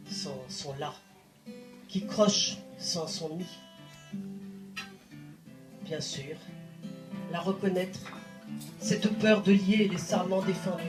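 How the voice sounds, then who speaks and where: A woman performs poetry live with dramatic, deliberate phrasing. She takes her time over each short phrase and leaves pauses between them. The room echoes around her, and a plucked guitar plays softly behind. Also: fairly low pitch. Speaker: poet reciting. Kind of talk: expressive poetry recital with guitar. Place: indoor live poetry evening venue. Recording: roomy live recital sound. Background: plucked guitar music.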